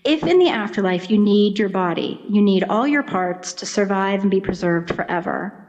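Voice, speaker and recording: Female expert interviewee, medium pitch, conversational storyteller who voices imagined quotes, lo-fi sound. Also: animated, moderate pace, darkish tone. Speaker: Egyptologist, interview guest. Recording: dull, lo-fi audio.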